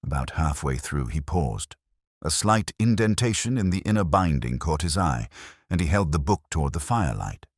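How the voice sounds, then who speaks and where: Male narrator for literary audiobook, extremely low pitch, expressive range, measured storytelling, dry recording. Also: slightly rough, no room sound.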